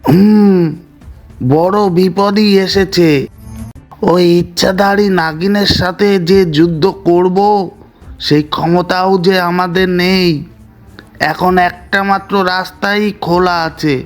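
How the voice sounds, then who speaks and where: A man voicing a grave, commanding village headman in a cartoon story, speaking deliberately and with authority. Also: sits high, flat delivery, faint music behind. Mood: worried, resolute. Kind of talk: Bengali cartoon story voice acting.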